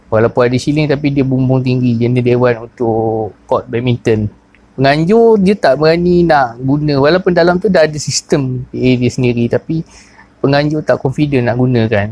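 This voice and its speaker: A man in his thirties speaks with a Perak Malay accent, talking through his sound job in an easy, unhurried way full of pauses and fillers. He is recorded close on a clip-on lapel mic in a small cluttered office. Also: mid-range voice, dry recording. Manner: relaxed, many fillers and pauses. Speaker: sound technician. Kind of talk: casual explanatory vlog.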